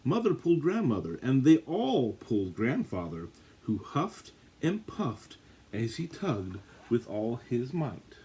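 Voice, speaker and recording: A man with a husky voice reading a children's storybook aloud, with dramatic, drawn-out emphasis. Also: medium pitch, huge pitch swings, mellow, a little hiss or hum. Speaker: story reader. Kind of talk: animated read-aloud of a children's picture book.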